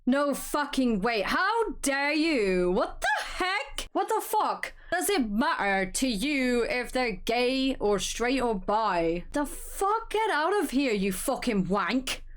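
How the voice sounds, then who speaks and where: A woman's voice: sits high, very animated, slow pace, hardly any pauses. A woman hosting a reaction video, talking casually and bluntly, swearing freely. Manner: blunt, swears often when upset.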